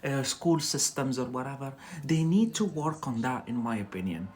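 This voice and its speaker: An English teacher hosting a casual live lesson, speaking haltingly and repeating words as drills while chatting with viewers. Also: mid-range voice, expressive, darkish tone. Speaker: English teacher, livestream host.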